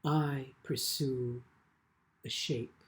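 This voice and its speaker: A man with a mid-pitched voice reads in a literary style, as a poet presenting his own work. His delivery is very slow and measured, with phrases set apart by frequent pauses. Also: steady tone. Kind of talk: literary poetry reading with brief spoken introductions.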